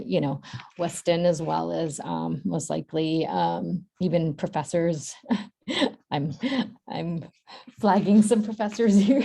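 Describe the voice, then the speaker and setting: Casting: female workshop host, low creaky voice, halting informal advice-giver who often rephrases herself. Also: dark tone. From Boston, United States. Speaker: workshop host and centre staff speaker. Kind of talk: informal workshop advice over video call. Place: virtual workshop video call.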